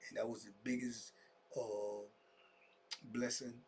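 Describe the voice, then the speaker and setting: A man's voice: medium pitch, little pitch movement, takes it slowly, vocal fry, darkish tone, a little hiss or hum. A man in his fifties telling his own story to camera in a casual monologue, full of street slang and fillers like "man" and "you dig", sometimes dropping to a whisper. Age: 50s.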